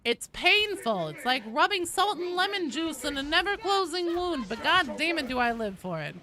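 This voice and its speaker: A woman's voice: sits high, big pitch swings, moderate pace, sharp tone. A woman game streamer chatting casually with viewers as she plays, blunt, sweary and given to dramatic emphasis, with game sounds behind her. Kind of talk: casual live gameplay commentary, chatting with viewers.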